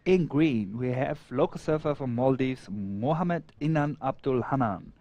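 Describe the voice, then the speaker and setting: A man from Afghanistan whose first language is Persian, speaking English as a guest co-commentator. He talks in a friendly, halting way with restarts. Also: mid-range voice, warm tone, no room sound, dull audio. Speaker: guest co-commentator and event organizer. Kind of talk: casual commentary chat.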